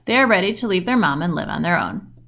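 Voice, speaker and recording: A woman reading a children's book aloud in an expressive storytelling style with questioning intonation. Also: on the low side, fast talker, creaky.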